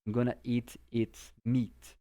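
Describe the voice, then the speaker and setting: A man's voice: medium pitch, flat delivery, slow, warm tone. A Brazilian man, a native Portuguese speaker, giving an informal English vocabulary lesson in simple explanations, often checking that the listener follows. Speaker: English teacher.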